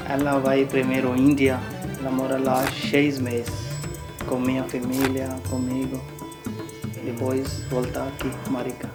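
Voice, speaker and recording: A man who is not a native speaker answers in short, halting phrases in a flat tone. The room echoes noticeably, and clear music with instruments plays behind him. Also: medium pitch. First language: not Portuguese. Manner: halting, simple phrasing in a learned language. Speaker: interviewee. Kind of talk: documentary interview.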